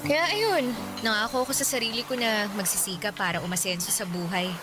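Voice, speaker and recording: A woman's voice: medium pitch, fairly quick, close to an everyday voice. Woman acting hopeful young provincial waitress over music. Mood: hopeful, wistful. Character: hopeful, playful provincial waitress, in her thirties, wanting family. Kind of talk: dramatized conversational radio acting.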